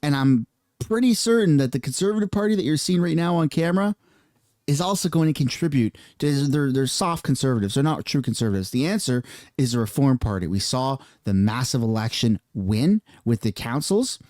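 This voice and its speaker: A man's voice: mid-range voice, animated, brisk, darkish tone, no echo, studio quality. A man ranting in a heated, casual reaction commentary over political clips, using slang.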